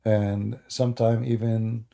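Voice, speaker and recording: A middle-aged man from the Middle East whose first language is Arabic, talking loosely on a live stream in broken English. His voice is very dark and mellow, with a gravelly fry running through it. He is sarcastic and teasing with his chat. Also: lowish voice, flat delivery, slow pace. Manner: sarcastic and joking, mocks the chat. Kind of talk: casual live stream chat, answering comments.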